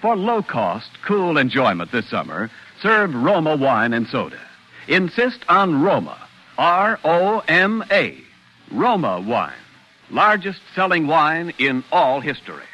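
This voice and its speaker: A man's voice: a little high, big pitch swings, a little slow, sharp tone, poor audio. A man reading a 1940s radio commercial as an announcer, with an emphatic salesman's delivery. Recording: vintage 1940s radio recording.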